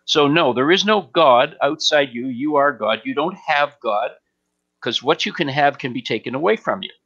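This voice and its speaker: Guy doing spiritual q&a, forceful bright voice, pauses a lot, kinda lo-fi stream sound. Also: medium pitch, animated. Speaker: spiritual teacher answering questions. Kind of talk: spontaneous spiritual Q&A talk. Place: live online stream.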